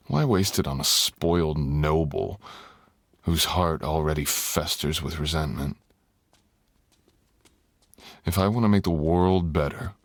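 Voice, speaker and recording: Guy doing seductive dragon lord asmr, super low husky voice, slow n brooding, formal wording. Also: no room sound, heavily acted. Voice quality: very deep, gravelly, husky, often breathy.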